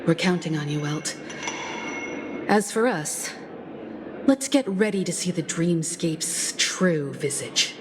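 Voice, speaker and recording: A woman's voice: on the low side, lively intonation, takes it slowly, pauses often, background noise. A woman playing a composed, decisive crew leader in a video game, with a businesslike, assured delivery. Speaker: game character voice actor. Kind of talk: scripted video game dialogue. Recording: loud music over voice.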